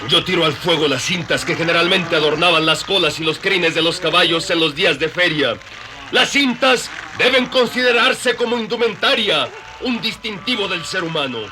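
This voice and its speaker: A man's voice: high voice, animated, moderate pace. A man playing a commanding, idealistic pig leader in a dramatized radio novel, speaking like an orator. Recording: noisy crowded farmyard behind.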